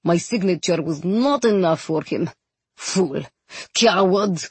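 A woman voicing a big, gruff, tipsy gambling captain in an audiobook, with a low, blustering, dragging delivery. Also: slow, no room sound, heavily acted. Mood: rueful bluster. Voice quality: deep bass, gruff. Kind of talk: audiobook character acting.

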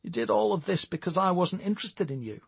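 Man acting an agitated, raspy wronged pop singer. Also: on the high side, steady tone, moderate pace, dry recording, pitched above the performer's own voice. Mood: hurt, hesitant. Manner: agitated, defiant. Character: wronged, desperate, angry young male former pop star.

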